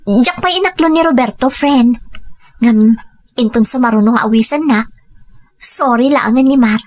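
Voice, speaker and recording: A woman's voice: a little high, normal speed, darkish tone, natural voice. A woman playing an ambitious young woman tired of poverty, firm yet apologetic, in an Ilocano radio drama. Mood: confiding.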